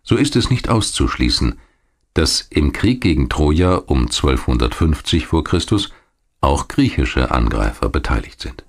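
A man narrating a scripted history documentary in a measured, articulate storytelling manner. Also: very deep voice, sing-song. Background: music.

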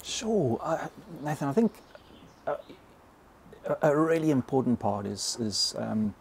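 A man's voice: medium pitch, very animated, measured pace, gravelly, darkish tone, a little hiss or hum. A South African man in his fifties talking in a podcast interview, hesitant and often repeating words. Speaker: bison ranger, podcast guest. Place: small log cabin. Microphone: close podcast mic on boom arm.

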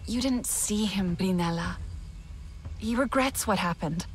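An actress playing an earnest, determined young alien heroine in a video game, speaking persuasively. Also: female voice, medium pitch, unhurried, natural voice. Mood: concerned.

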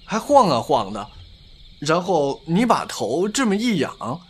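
Gossipy young male disciple played by a man, audio drama: high-pitched, extremely animated, chatty. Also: measured pace, husky, exaggerated voice.

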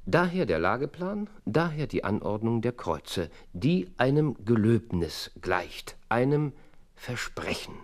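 An actor playing a brilliant, impatient detective in a German radio play, with a deliberate, dramatic delivery and hushed phrase endings. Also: male voice, medium pitch, big pitch swings. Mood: dramatic, deducing. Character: brilliant, theatrical male detective, sure and impatient.

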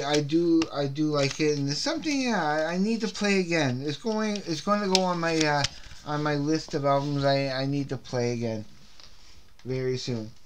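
A man hosting his own CD review series, chatting casually and rambling, repeating words and restarting sentences, with a slightly scratchy throat. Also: on the high side. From Canada. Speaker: host of a CD review video series. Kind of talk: casual unscripted music album review.

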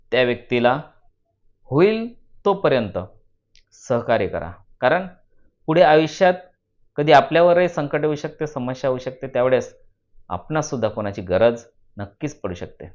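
A man from narrates a children's moral story in a deliberate, teacherly way, repeating phrases for emphasis. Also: mid-range voice, darkish tone. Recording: dull lo-fi voice recording.

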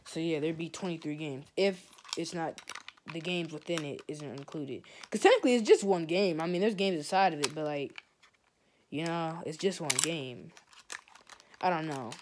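A man's voice: a little high, moderate pace, background noise. A teenage boy vlogging in a small bedroom into a phone camera held close. He talks in a loose, rambling way, full of "like", and his unsteady voice tends to crack. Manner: rambling, full of "like", drifts off topic. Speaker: gaming channel vlogger. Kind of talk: rambling casual vlog.

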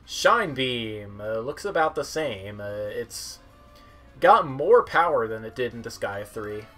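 A man's voice: medium pitch, big pitch swings, takes it slowly, a bit bright. A young man giving casual, wry, rambling commentary on a video game. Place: indoor room at home. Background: game music.

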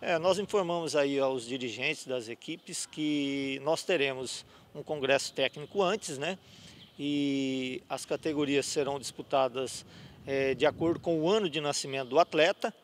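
A man's voice: mid-range voice, moderate pace, a little hiss or hum. A middle-aged man, organizer of a youth futsal cup, explaining the rules into a handheld mic inside a sports gym. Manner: explanatory, with fillers. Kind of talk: interview. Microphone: handheld reporter mic.

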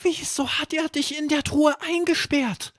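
A man's voice: very high-pitched, fairly even intonation, measured pace, fairly bright tone, dry recording, clean studio sound, pitched above the performer's own voice. A man voicing an anxious, curious young boy in a bedtime storybook, full of puzzled questions. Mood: astonished.

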